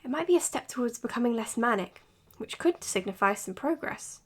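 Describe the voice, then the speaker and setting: Measured, high-voiced woman psychologist. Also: lively intonation. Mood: measured, clinical. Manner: measured, matter-of-fact. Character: earnest, weary woman psychologist keeping case notes. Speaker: doctor. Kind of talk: audio drama, recorded clinical log.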